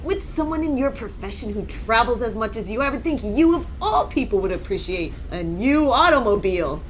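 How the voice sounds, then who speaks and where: Woman as oily car salesman, animated pitch, wheedling, theatrical, radio drama, faint background noise. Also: brisk, natural voice. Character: smarmy, pushy male car salesman. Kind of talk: noir radio drama acting.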